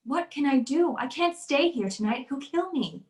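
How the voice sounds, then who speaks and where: A woman's voice: high voice, expressive, moderate pace. A woman playing an anxious, well-to-do wife in an old-time radio drama, pleading and earnest. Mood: panicked. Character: anxious wealthy wife convinced her husband wants her dead. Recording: roomy, echoey sound.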